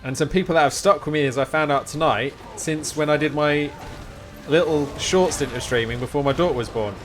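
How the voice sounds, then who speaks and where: A man's voice: on the high side, a little fast, fairly bright tone, soft background music. A man live streaming, chatting to his viewers in an informal, rambling, encouraging way. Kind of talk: casual chat with stream viewers.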